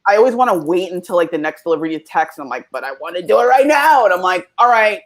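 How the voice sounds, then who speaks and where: Chatty excitable woman, lower voice. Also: fast. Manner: chatty, excitable, laughs often. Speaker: podcast co-host. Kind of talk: casual chat.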